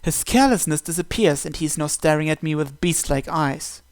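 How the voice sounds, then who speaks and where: A man reading a visual novel aloud in an expressive, dramatic first-person narration with occasional stumbles. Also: on the high side, normal speed, no echo.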